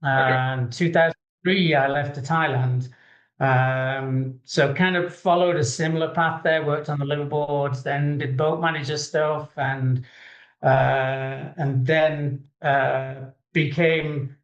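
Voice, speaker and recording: A middle-aged man from the UK, a guest in a casual interview, relaxed and hedging. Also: on the high side, slow pace. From United Kingdom. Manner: relaxed, hedging with 'kind of'. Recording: echoey and muffled.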